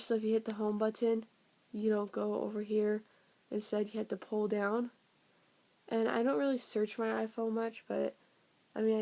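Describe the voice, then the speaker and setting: A young woman reviewing a phone software update in a rambling, casual way, her voice raspy and sniffly from a cold. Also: mid-range voice. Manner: rambling, filler-heavy, trailing off. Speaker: tech vlogger.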